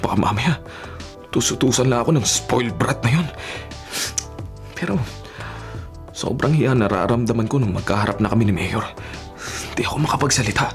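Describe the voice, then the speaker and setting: A man's voice: on the low side, close to an everyday voice. An actor playing a serious, dutiful young man, reluctant as a bodyguard, in an earnest, reserved radio drama style. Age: young adult. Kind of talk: Filipino radio drama acting. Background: background music.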